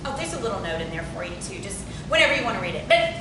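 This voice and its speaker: Woman as working-class wife and mother, affectionate, firm, stage drama, distant mic, noisy rumble. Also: big pitch swings, racing, natural voice. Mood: affectionate, encouraging. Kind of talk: naturalistic stage drama table reading. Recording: very echoey, distant, rumbling noise.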